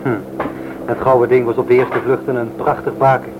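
A man's voice: mid-range voice, level tone, moderate pace, natural voice. A man acting a businesslike, clipped, commanding airline captain in a radio drama. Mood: brisk, commanding. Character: brisk, businesslike male airline captain in flight. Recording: dull sound under loud engine noise.

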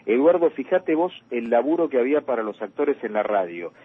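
A man's voice: mid-range voice, expressive, brisk, breathy edge, phone-quality sound. A man with a Rioplatense Argentine accent hosting a radio interview about past programming. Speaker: radio host. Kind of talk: radio interview talk, reading out old programme listings.

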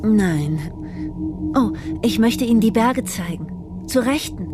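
A woman's voice: on the high side, animated, slow pace, over music. A woman playing a servile, eager and apologetic airship stewardess in a radio play, polite and flustered. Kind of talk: German radio play dialogue.

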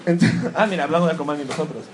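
A man's voice: fairly high pitch, very fast, over a noisy crowd. Joking man giving a comics talk. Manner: joking, self-mocking, animated asides. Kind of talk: live comics talk to an audience. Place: room with a live audience. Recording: clear background noise.

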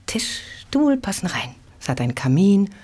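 An actress in a German radio play voicing a brash, cheeky young Victorian prostitute with slangy, impatient talk. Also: female voice, medium pitch, sing-song, normal speed, darkish tone, husky. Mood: chatty, coaxing.

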